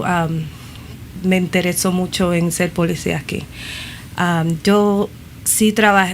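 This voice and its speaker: A woman from Puerto Rico, now a police officer, answers interview questions. She speaks haltingly, repeating words and slipping in English terms. Faint background hiss. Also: medium pitch.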